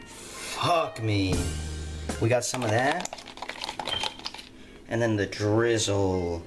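A man's voice: lowish voice, expressive, drawn-out words, echoey room, over loud music. A young man filming himself cooking in a home kitchen, explaining casually and swearing with cocky confidence. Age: young adult. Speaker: home cook vlogger. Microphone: handheld camera nearby.

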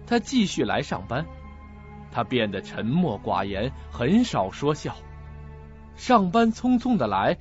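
Animated male suspense narrator. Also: mid-range voice. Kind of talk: dramatic suspense story narration. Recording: muffled lo-fi audio with music.

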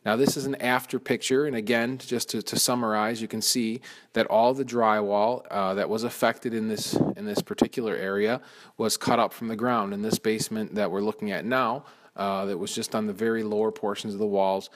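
Contractor, man, low voice, casual explainer who pauses and restarts often. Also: steady tone. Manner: explanatory, frequent fillers and restarts. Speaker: mold removal contractor. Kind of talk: informal walkthrough narration.

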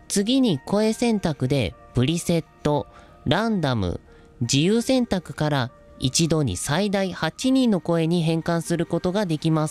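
Male host, early 30s, youthful feminine-sounding voice, polite explainer delivery, animated intonation. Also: on the high side. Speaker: video host. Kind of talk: scripted explainer talk to viewers. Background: background music.

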